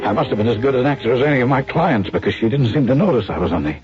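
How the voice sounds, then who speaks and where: A man's voice: mid-range voice, animated, racing, natural voice. A man playing a glib, guilt-ridden talent agent in a radio drama, with slangy salesman patter and confessional first-person narration. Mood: tense, regretful. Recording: dull radio recording with dramatic music.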